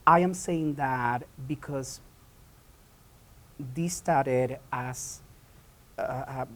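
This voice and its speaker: A man from Puerto Rico, a theatre founder and director, answering interview questions in a halting way with many pauses and restarts. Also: medium pitch, fairly bright tone.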